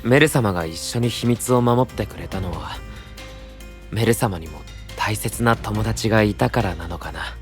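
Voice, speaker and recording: A man's voice: on the low side, normal speed, soft background music, close to an everyday voice. An actor playing a serious, burdened young male knight, grave and restrained, in a fantasy voice drama. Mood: troubled, pondering.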